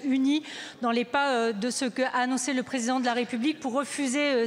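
High-voiced minister, hesitant, many fillers. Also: female voice. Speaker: government minister answering. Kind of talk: spoken parliamentary reply. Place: large parliament chamber. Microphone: gooseneck desk mic. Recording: long hall reverb.

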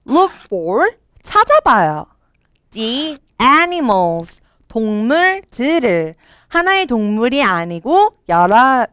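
A young woman teaching a storybook lesson at a very slow, careful pace. Her pitch is a little high and rises and falls a lot. Her voice is smooth and clear, recorded dry in a studio. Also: fairly bright tone, no room sound. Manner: slow, clear reading of English lines with Korean explanations. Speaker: English teacher for children. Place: studio with a green screen.

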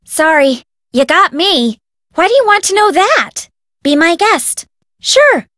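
A woman's voice: very high-pitched, big pitch swings, takes it slowly, pushed and bright, heavily acted. A woman voicing a bubbly, bossy, spoiled rich young heiress in a video game, with an American accent and perky, dramatic, casual phrasing. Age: young adult.

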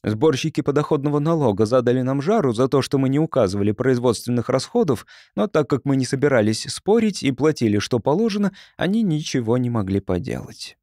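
A man narrating fiction as an audiobook reader, in an expressive storytelling style. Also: mid-range voice, a little fast, hardly any pauses, no room sound, clean studio sound.

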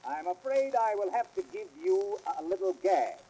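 A hissy vintage 78 rpm comedy record features a courteous, formal dentist. His voice is fairly high and mellow, swinging expressively as he phrases things precisely. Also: huge pitch swings, normal speed, exaggerated voice, rough recording. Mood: reassuring. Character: polite, formal male dentist, patient and businesslike. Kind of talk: 1910s comic sketch record acting.

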